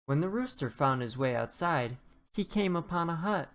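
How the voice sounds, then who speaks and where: A narrator reading a rhyming children's storybook aloud in an expressive storytelling way. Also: mid-range voice, normal speed. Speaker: children's book reader.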